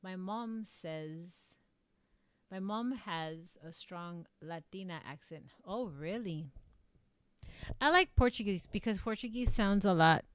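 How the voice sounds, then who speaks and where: A woman's voice: mid-range voice, slow, smooth, dry recording. A woman from South Texas with a Mexican-American Texan accent, rambling in casual chat as a streamer, filling her talk with "like" and "um". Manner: rambling, leans heavily on "like" and "um". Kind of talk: casual chat reading viewer comments.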